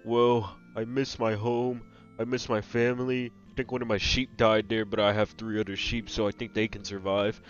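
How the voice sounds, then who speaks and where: A man's voice: on the low side, level tone, moderate pace, voice pushed down, natural voice. A man voicing a homesick, dejected bear character who rambles and complains in an improvised comic skit. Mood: gloomy. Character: dejected homesick male leprechaun bear with a grudge. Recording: dull lo-fi audio with music behind.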